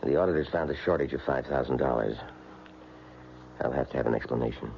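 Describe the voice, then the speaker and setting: An actor playing a grave, hesitant office boss in an old radio drama. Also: male voice, rumbling low, fast talker, creaky, slight background noise. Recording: dull old radio broadcast recording.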